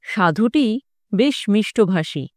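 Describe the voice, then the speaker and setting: A woman's voice: mid-range voice, animated, takes it slowly, no room sound, clean studio sound. Woman voicing a reflective occultist raconteur. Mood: reminiscing. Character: male tantric occultist recounting his wandering youth. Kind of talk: audiobook storytelling.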